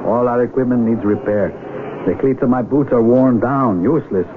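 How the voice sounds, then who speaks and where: An actor playing a practical, wry mountain climber and patient friend in a 1970s radio drama, measured and dryly teasing. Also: male voice, medium pitch, close to an everyday voice. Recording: narrowband, noisy old radio broadcast.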